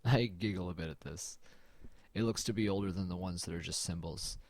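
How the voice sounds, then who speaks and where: A man acts as a brooding male narrator of a first-person horror audio drama. His voice sits low, in a very dry recording. He tells it in a measured, uneasy way, as someone exploring a dead man's house. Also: a little mellow, no room sound.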